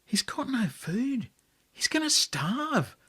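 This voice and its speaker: A man voicing a curious, worried young grandchild in an Australian story, speaking in hushed, questioning short phrases with an Australian accent. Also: very high voice, big pitch swings, slow pace, slightly rough, voice pushed up, full character voice.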